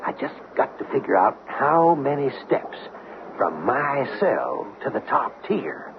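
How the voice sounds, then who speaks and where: A man's voice: medium pitch, sing-song, unhurried, creaky. A man playing a scheming, cocksure convict in an old-time radio drama, talking in a folksy, urgent, confident way. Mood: conspiratorial, confident. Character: scheming, cocksure male convict planning a prison breakout. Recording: dull, noisy old radio recording.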